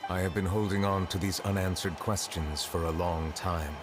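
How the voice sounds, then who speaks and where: A man's voice: deep voice, steady tone, normal speed, lightly acted. A man voicing an ancient, solemn dragon in human form who serves as chief justice in a video game, speaking formally and reflectively. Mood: grave. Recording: game dialogue with music behind.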